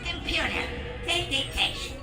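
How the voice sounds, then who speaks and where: A man's voice: very high-pitched, lively intonation, over music. An actor voicing a megalomaniac robot villain in a video game, theatrical, vengeful and grandiose, drawing out his words. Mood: scheming. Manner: grandiose, drawn-out delivery. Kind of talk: video game cutscene acting. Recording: reverberant, hall-like echo.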